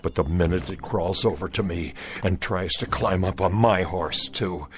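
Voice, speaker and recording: An actor playing a stern, resolute Confederate cavalry soldier in a radio drama, with a folksy, commanding Southern US accent. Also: male voice, low-pitched, normal speed, close to an everyday voice.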